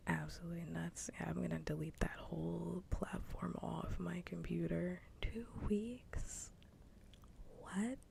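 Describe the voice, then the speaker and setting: A woman streams ASMR in a soft whisper, low and gravelly at the edges. She talks casually and circles back over her phrases with little melodic movement. The close microphone carries a faint rumble behind her. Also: little pitch movement, normal speed, hardly any pauses. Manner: casual, repetitive, mildly swearing. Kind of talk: whispered ASMR livestream chat.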